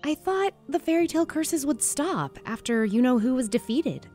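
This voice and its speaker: Lady doing high swoopy gossipy maid, expressive, fairy tale game style. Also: moderate pace, faint music behind. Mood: dismayed, curious.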